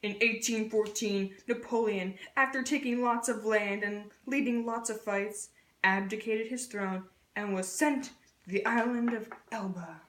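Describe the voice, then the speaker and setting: A young woman with a fairly high voice presents scripted history in dialogue, like a student project. The sound is strongly roomy, with clear reflections around her words. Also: steady tone, unhurried, a bit bright. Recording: roomy, echoey room sound.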